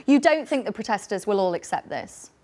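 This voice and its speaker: A woman with a British accent hosting a radio phone-in, brisk and inviting. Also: mid-range voice, very animated, a little mellow. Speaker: radio host.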